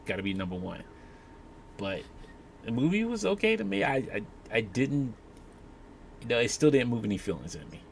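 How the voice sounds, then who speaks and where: A man's voice: mid-range voice, sing-song, moderate pace, vocal fry, long pauses. A man giving his opinion casually as a panelist in a discussion. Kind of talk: casual chat. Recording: faint ambient background noise.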